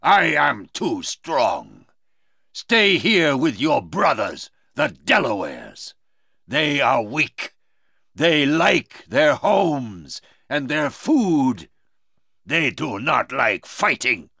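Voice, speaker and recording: A man voicing a menacing, boastful villain in a storybook, with drawn-out, gloating, contemptuous words. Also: mid-range voice, sing-song, creaky, pushed and bright, no room sound, studio quality, pitched above the performer's own voice, exaggerated voice. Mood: scornful.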